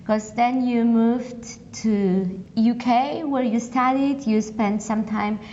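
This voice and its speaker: A woman hosting an interview, asking her questions carefully and deliberately. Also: a little high, steady tone, mellow, highs cut off, slight background noise. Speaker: interviewer and podcast host.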